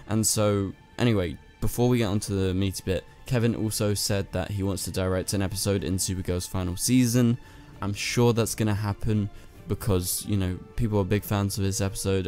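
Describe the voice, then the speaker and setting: A young man with a hoarse, worn-out voice, hosting a chatty commentary video and talking straight to his viewers. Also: low voice. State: lost his voice. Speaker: channel host. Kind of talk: casual commentary to camera. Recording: soft music underneath.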